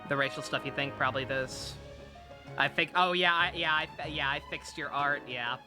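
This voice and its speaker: A woman's voice: deep voice, normal speed. A woman live streaming a game with casual, candid commentary, rambling and stammering, with frequent swearing. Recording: game music behind the voice.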